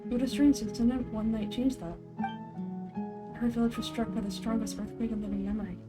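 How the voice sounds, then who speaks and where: A woman plays a solemn villager from a fantasy game, recounting an old local legend. The telling is measured storytelling over music. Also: fairly high pitch, steady tone, natural voice.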